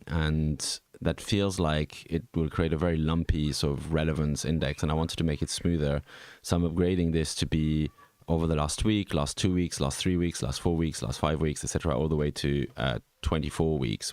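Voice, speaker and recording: A middle-aged man with a Swiss French accent and an extremely low, deep voice talks through charts in a rambling, think-aloud way, full of ums and restarts. The recording is dry and close, with no room sound. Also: a little mellow, dry recording. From French-speaking Switzerland. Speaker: NFT market analyst host. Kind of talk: casual screen-share commentary.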